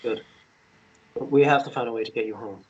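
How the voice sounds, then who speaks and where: An actor playing a shy, apologetic, gentle young man in a romance table read, stammering and halting as he speaks. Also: medium pitch, lo-fi sound, slight background noise, natural voice. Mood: worried.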